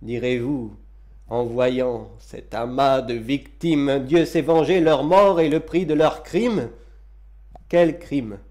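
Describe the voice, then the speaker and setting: A man giving a dramatic recitation of classical verse, with solemn, declamatory and deliberate phrasing. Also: mid-range voice, a little mellow. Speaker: reader of poetry.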